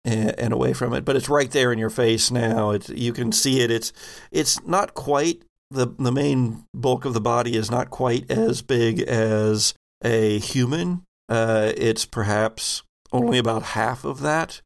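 A man narrates a tabletop roleplaying game as its game master. He speaks slowly with a creaky, gravelly edge, in a middle-of-the-road pitch, building his descriptions in halting pieces.

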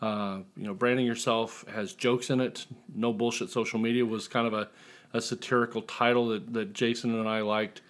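A man's voice: mid-range voice, level tone, normal speed. A man talking casually as a guest author in an interview, with a joking, self-deprecating manner.

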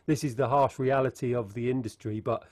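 A man co-hosting a podcast in a loose, playful way, egging on his partner and drifting into thoughtful asides. His voice is at an ordinary middle pitch. The sound is dry and close to the mic. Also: steady tone, fairly quick, a little mellow, dry recording. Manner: playful, eggs on his co-host, reflective asides. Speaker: podcast co-host. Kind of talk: casual podcast chat.

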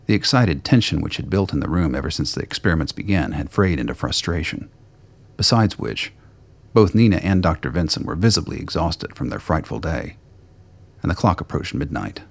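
A man with a gravelly, husky voice reading scripted narration for a radio play. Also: very deep voice, lively intonation, a little fast, no echo.

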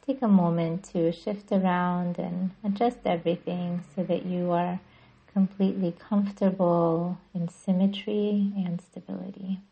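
Slow, husky woman guiding meditation. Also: lowish voice, steady tone, dull audio. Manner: soothing, gentle, deliberate. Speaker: yoga nidra guide and podcast host. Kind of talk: guided meditation narration.

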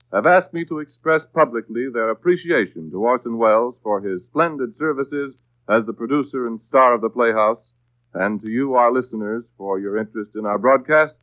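American guy announcing on 1940s radio, mid pitch, formal and careful, old lo-fi sound. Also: normal speed, no echo. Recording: dry, band-limited vintage radio broadcast.

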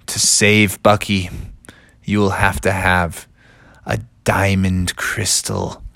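A man voicing a crotchety old grandfather frog who stammers, grumbles and then turns grave and hushed. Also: mid-range voice, lively intonation, unhurried, vocal fry, dry recording. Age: older.

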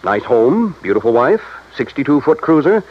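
An actor playing a matter-of-fact insurance man who explains things briskly in an old-time radio drama. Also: mid-range voice, very animated, breathy edge. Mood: businesslike. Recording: dull vintage radio drama recording.

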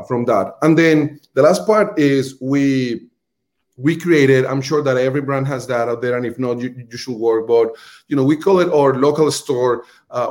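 A man's voice: mid-range voice, darkish tone. A man with a Colombian accent, a restaurant marketing executive, explaining earnestly as an interview guest, with frequent fillers and repeated words.

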